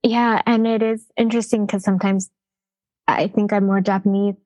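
A woman's voice: medium pitch, level tone, normal speed, warm tone, dry recording, lo-fi sound. A woman from Canada, a guest in a casual interview, speaking thoughtfully with many hesitations and fillers. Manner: thoughtful, hedging, frequent "um" and "like".

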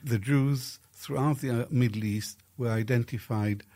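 Older man with a mellow, measured voice. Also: medium pitch. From Iraq. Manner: measured, deliberate, frequent "uh". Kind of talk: archival interview clip.

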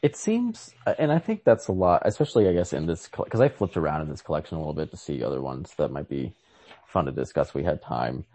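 A man co-hosting a podcast, discussing stories casually in a halting, tentative way and often correcting himself. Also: very deep voice, sing-song, dark tone. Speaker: podcast co-host.

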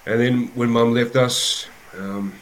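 A man with a New Zealand accent, a rugby coach telling his life story as an interview guest, in an unhurried way with many pauses. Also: mid-range voice, fairly even intonation, slight background noise, poor audio.

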